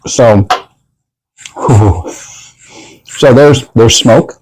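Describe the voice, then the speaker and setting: A man's voice: medium pitch, drawn-out words, a little mellow, breathy edge, no room sound, rough recording. A man hosting a casual tasting interview in a folksy, chatty way, talking to his guest and plugging promotions. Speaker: show host.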